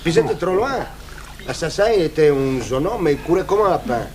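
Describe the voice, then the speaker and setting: A man's voice: on the high side, expressive, normal speed, crowd noise, close to an everyday voice. An actor playing a fat, plain-spoken male witness, defensive and emphatic in colloquial speech, in a French radio drama. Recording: noisy old radio recording.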